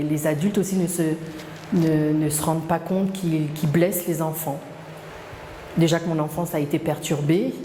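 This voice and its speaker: A middle-aged woman speaks in a somewhat low, mellow voice. She talks hesitantly and reflectively, with frequent fillers and restarts. The sound is roomy with a long reverb and faint background ambience. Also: a little hiss or hum. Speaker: interviewee. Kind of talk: personal testimony interview.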